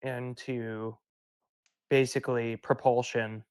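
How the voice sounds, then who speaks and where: A man's voice: medium pitch, little pitch movement, slow, a little mellow, smooth, dry recording. A young man co-hosting a casual podcast, a little drunk, rambling and laughing as he talks. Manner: rambling, laughs often, tipsy. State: tipsy from beer. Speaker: podcast co-host. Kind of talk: casual chat.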